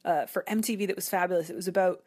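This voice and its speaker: A woman giving thoughtful, discursive commentary as an interview guest, often halting as she works out her ideas. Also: lowish voice, fairly even intonation, darkish tone, dry recording, studio quality. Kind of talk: conversational literary and cultural commentary.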